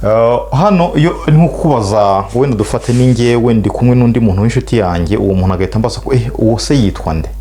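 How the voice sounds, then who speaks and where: A middle-aged Rwandan-accented man, a native Kinyarwanda speaker, teaching spoken English at a middling pitch. He draws out each model phrase slowly for learners to repeat, with a faint hum behind him. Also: warm tone.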